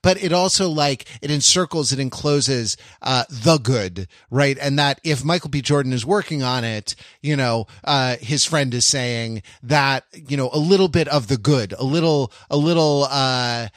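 A man co-hosting a podcast, thinking aloud in a philosophical, roundabout way. He pauses often with fillers and restarts, his voice mid-pitched and recorded dry and close. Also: a bit bright, dry recording. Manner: musing, philosophical, halting with many fillers. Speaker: podcast co-host. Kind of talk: casual chat.

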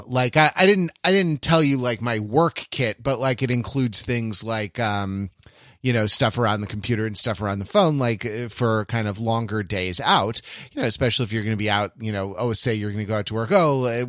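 A man co-hosting a podcast in casual chat, speaking haltingly as he circles toward his point. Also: lowish voice, fairly bright tone, no room sound, clean studio sound. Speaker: podcast co-host.

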